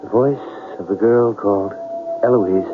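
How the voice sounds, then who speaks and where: A thin, band-limited vintage radio recording with faint music. An actor plays a haunted, lovestruck man who tells his story slowly in dramatic, halting phrases, in the heightened manner of 1970s radio drama. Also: lowish voice, lightly acted. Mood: hushed, uneasy. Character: haunted man narrating ghostly voices, lovestruck and anguished. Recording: tinny 1970s radio broadcast.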